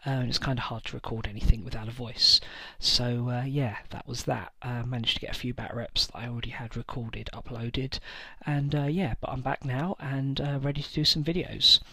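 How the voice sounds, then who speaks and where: A man hosting his own tabletop wargaming channel, talking casually through army lists with frequent pauses and filler words. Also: mid-range voice, steady tone, pushed and bright, breathy edge, no echo. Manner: hesitant, many fillers and pauses. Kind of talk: casual list-building talk to camera.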